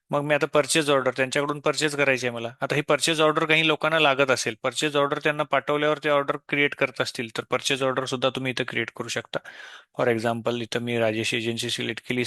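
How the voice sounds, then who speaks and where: A man explains software step by step in an unscripted tutorial, often posing questions and answering them himself. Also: mid-range voice, no echo. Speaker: software demo presenter.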